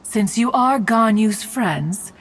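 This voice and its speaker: A woman's voice: on the high side, animated, slow, husky, full character voice. A woman playing an ancient, dignified female immortal in a video game, talkative and fond, with formal, archaic phrasing. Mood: stately, welcoming. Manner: formal, archaic, calls herself "one". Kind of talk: theatrical video game character acting. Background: music.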